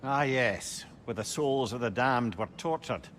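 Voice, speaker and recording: An actor playing a wry, talkative old adviser, wordy and philosophical, in a video game. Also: male voice, medium pitch, a little slow, fairly bright tone, a little hiss or hum, lightly acted. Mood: inquisitive. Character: wry, talkative, counselling old male companion. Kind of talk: dramatic video game acting.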